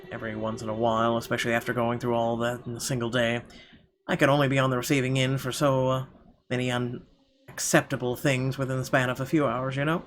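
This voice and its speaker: A man narrates a horror visual novel in the first person as he plays. His medium-pitched voice is dramatic and expressive, with faint music running underneath. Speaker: let's player reading game narration.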